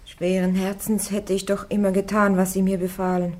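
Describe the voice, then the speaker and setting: A woman's voice: medium pitch, flat delivery, moderate pace, a little mellow, close to an everyday voice. A woman acting a defiant, devout young warrior on trial in a German radio play, plain-spoken and stubborn. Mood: plain, firm.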